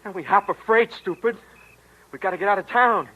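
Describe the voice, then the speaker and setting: An actor playing a tough, bossy, hot-tempered teenage delinquent in an old-time radio drama, talking in impatient slang. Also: male voice, sits high, brisk, lo-fi sound. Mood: urgent. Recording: old radio drama over loud music.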